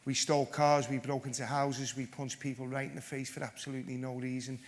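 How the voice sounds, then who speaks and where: A man's voice: mid-range voice, little pitch movement, brisk. A man giving a conference talk, telling his own story in a blunt, self-deprecating and joking way. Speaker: panel speaker, former PE teacher.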